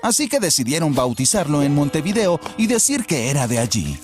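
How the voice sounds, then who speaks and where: A man narrating a children's cartoon story in an expressive, storytelling way. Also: fairly high pitch, a little fast, music in the background. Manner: expressive, storytelling delivery with repeated refrains.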